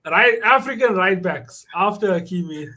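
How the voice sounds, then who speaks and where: High-voiced man, animated football fan banter. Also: normal speed, background noise. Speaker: football show panelist.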